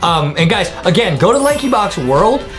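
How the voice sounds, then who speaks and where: A young American man giving excitable, playful, slangy reaction commentary as a gaming video host, often repeating his phrases. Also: high-pitched, normal speed. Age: young adult. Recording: music bed behind the voice.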